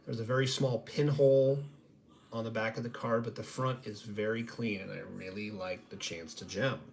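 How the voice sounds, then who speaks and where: A man's voice: lowish voice, normal speed. Casual, slightly nasal man over background noise. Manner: conversational, self-deprecating. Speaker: sports card grading reveal host. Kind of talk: casual live-stream commentary on trading cards. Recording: noticeable steady background noise.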